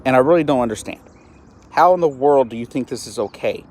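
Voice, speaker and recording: A man's voice: mid-range voice, fast talker, a little mellow. A man, hosting a solo live stream and talking earnestly and with frustration, often stopping to restart his words. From East Texas, US. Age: 30s. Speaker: host of a live-stream show.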